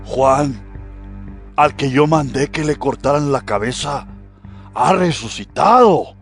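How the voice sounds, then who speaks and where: A man's voice: medium pitch, expressive, slow pace. A man playing an imperious, troubled king with weighty delivery in a scripture audio drama. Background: music.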